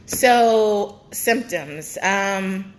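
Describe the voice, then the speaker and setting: A woman's voice: mid-range voice, drawn-out words, slight background noise, poor audio. A young woman vlogging a casual pregnancy update, chatty and rambling with many fillers, her nose stuffed up.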